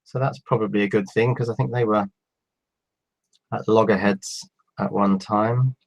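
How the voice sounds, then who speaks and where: A man in his fifties with a cold, reading out viewer questions on a live stream and answering them in a relaxed, halting way. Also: on the low side, creaky, poor audio. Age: 50s. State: has a cold. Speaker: electronics YouTuber answering live chat. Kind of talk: casual live stream chat.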